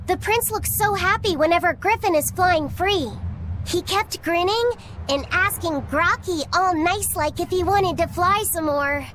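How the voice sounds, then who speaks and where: A very high-pitched, innocent young boy in a video game, curious and earnest. He speaks in a childlike way, with faint noise behind. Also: unhurried, fairly bright tone.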